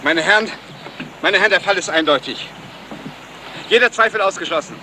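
An actor playing a self-assured, pedantic detective sergeant who lectures emphatically, in the style of a 1970s radio crime play. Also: male voice, sits high, fairly quick, pushed and bright, low-quality recording. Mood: smug, emphatic. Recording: faint noise, outdoor boat ambience.

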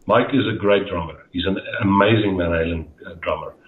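Middle-aged Afrikaans-accented man, deep voice, phone-thin echoey call audio, rambling guest. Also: brisk, phone-quality sound, slight background noise. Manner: rambling, repeats words, frequent "uh" fillers. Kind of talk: casual interview chat. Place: dim home room. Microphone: headset on a video call.

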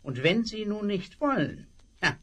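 A sly menacing Western villain. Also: male voice, high-pitched, big pitch swings, unhurried, a bit bright, full character voice. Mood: threatening. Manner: sly, mocking, veiled threats. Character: smug menacing male Western landgrabber, adult. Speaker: rival rancher. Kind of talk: 1970s German radio play acting.

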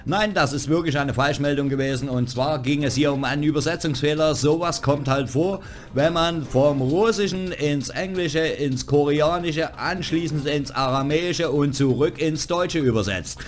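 A man's voice: fairly high pitch, normal speed, few pauses. A man hosting a gaming news show, reading a scripted voice-over with wry joking asides. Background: background music.

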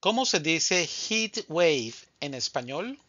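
A man teaching Spanish vocabulary in a scripted drill, speaking slowly and deliberately and saying each answer twice. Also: on the high side, sing-song, bright, forceful tone, no echo, highs cut off.

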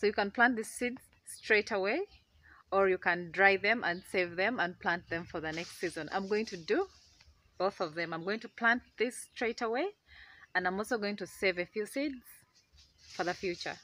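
A young Ugandan woman with a Ugandan accent, chatting excitedly to a phone camera in her outdoor garden. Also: medium pitch, normal speed. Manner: excitable, chatty, laughs often. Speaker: gardening vlogger. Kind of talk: casual chat to camera. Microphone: phone camera held close.

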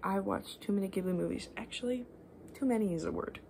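A woman in her twenties doing soft-spoken, rambling ASMR, unscripted and self-deprecating, sometimes slipping towards a whisper. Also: mid-range voice, quick pace, gravelly. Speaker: ASMR video creator. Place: small living room at home, indoors. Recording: faint room noise on home recording.

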